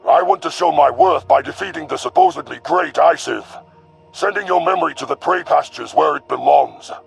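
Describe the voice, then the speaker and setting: Very gravelly arrogant alien warlord. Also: male voice, on the high side, brisk, soft background music, voice pushed up, full character voice. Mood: scornful challenge. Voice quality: gravelly, growling. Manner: haughty, formal, menacing. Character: arrogant young male alien predator commander, cruel and sneering.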